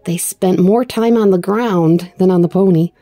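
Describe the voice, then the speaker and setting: A woman storyteller giving an expressive read-aloud narration of a children's book. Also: lowish voice, moderate pace, no room sound, soft background music.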